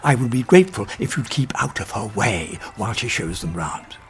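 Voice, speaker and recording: An actor playing a kindly, logical old professor, speaking deliberately and formally in a radio drama. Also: male voice, lowish voice, very animated. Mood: polite, courteous. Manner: deliberate, formal, pedantic, gently exasperated.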